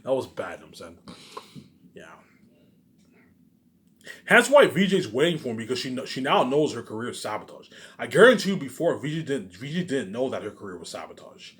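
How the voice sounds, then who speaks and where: Animated man in a repetitive rant. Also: medium pitch, brisk, pauses often. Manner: repetitive, emphatic, slangy, tagging phrases with trailing asides. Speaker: solo online vlogger. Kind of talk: casual unscripted monologue rant.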